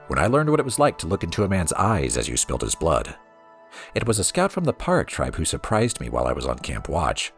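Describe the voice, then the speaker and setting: A man reading a fantasy book aloud as expressive storybook narration over music. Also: sits low. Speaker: let's play host reading in-game books aloud.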